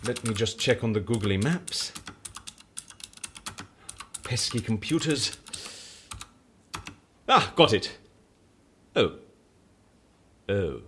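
Husky, quirky, animated agency man. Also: on the low side, drawn-out words, pauses often, heavily acted. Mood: wry, busy. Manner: quaint colourful exclamations, dry businesslike asides. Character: quirky male case handler at a disappearance agency. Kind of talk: audio drama acting.

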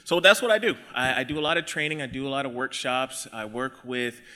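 A man's voice: mid-range voice, a little fast, bright, forceful tone. A man from Texas giving a friendly, joking talk to a live audience about his training work. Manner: friendly, joking, conversational with restarts. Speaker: podcast host and equity trainer, guest speaker. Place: live event hall. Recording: echoey hall.

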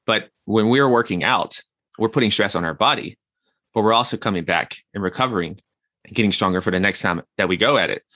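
A man's voice: lowish voice, brisk, pushed and bright, no room sound, clean studio sound. A man in his thirties, a guest in an interview, talking reflectively and explaining ideas through everyday comparisons like gardening and baking. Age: 30s. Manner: reflective, uses everyday analogies. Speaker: guest, health consultant. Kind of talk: conversational interview.

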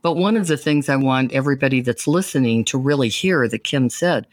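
A man's voice: mid-range voice, fairly quick, dry recording, clean studio sound. A man hosting a podcast interview, talking at length in an enthusiastic, encouraging way. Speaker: podcast host. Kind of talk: casual interview conversation.

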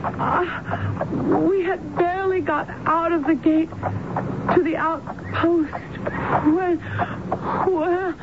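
An actress playing a genteel English army wife of the 1870s under hypnosis, hesitant and formal, in radio drama style. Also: female voice, very high-pitched, steady tone. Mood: anguished, faltering. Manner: slow, hesitant, formal and refined. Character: hypnotized genteel English army officer's wife, haunted, recalling 1870 India. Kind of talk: 1970s American radio drama acting. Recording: noisy, muffled old radio broadcast recording.

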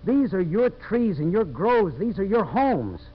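A man's voice: high-pitched, very animated, a little slow, slightly rough, exaggerated voice. An actor playing a tough, determined American man turned champion of settlers in a 1950s radio drama, intense and earnest. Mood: impassioned. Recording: muffled, hissy old radio broadcast.

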